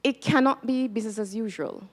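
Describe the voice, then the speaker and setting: A woman's voice: fairly high pitch, fairly quick. A woman from Jamaica, an ambassador, speaking earnestly and emphatically at a conference. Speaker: ambassador and panelist. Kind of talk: formal conference speech. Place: large conference hall. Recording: reverberant conference hall.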